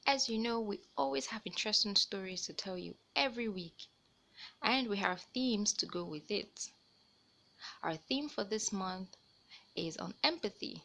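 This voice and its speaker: A woman hosting a children's read-aloud, telling a storybook tale in an expressive, deliberate way. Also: mid-range voice, creaky. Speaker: host reading stories aloud for children.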